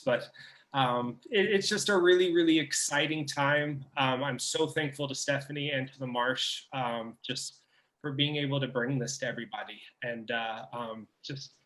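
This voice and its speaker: A man's voice: mid-range voice, fairly bright tone, poor audio. A man who works for the theater, talking casually on a video call and hesitating often with fillers and restarts. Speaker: theater staff member.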